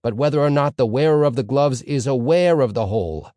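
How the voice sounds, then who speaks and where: A man voicing a pompous older rabbi teacher in an audiobook story, declaiming with dramatic pauses and rising questions. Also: medium pitch, warm tone, no echo, voice pushed up, natural voice. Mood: pondering.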